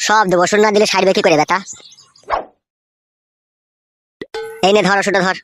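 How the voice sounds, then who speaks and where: Female performer as male village doctor, brusque, bossy, rapid-fire speech, comedy cartoon. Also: mid-range voice, steady tone, a bit bright, dry recording, close to an everyday voice. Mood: brisk, instructing. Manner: brusque, scolding, foul-mouthed.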